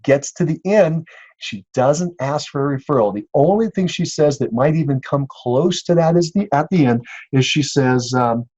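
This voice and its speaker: A man's voice: a little high, lively intonation, normal speed, a little mellow, no room sound, highs cut off. A man giving a casual, encouraging instructional talk as a sales coach, speaking conversationally and checking in with listeners. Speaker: real estate sales coach and podcast host.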